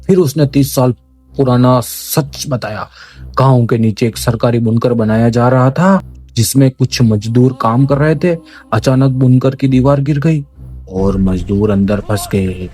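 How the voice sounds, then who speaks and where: A man's voice: medium pitch, a little slow, dark tone. A man giving dramatic, scripted horror narration in an ominous, suspenseful manner, with music behind.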